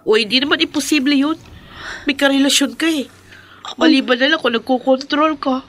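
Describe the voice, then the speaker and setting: A woman's voice: high voice, fairly quick, fairly bright tone, soft background music. An actress playing a concerned, blunt female friend in a Filipino radio drama. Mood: alarmed, knowing.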